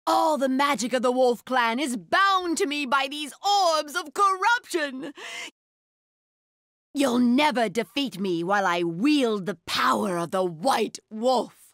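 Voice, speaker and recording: An actress playing a mad, imperious sorceress in a fantasy game who speaks like a self-proclaimed goddess, theatrical and drawn-out. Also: female voice, high-pitched, expressive, fairly bright tone, dry recording, studio quality, heavily acted. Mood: grandiose, mad. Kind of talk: dramatic fantasy game voice acting.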